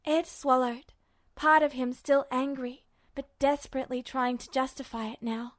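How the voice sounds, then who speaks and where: A woman's voice: high voice, steady tone, normal speed, no room sound, studio quality. A woman narrating fiction in a dramatic, emotive style, like an audiobook reader. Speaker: podfic reader.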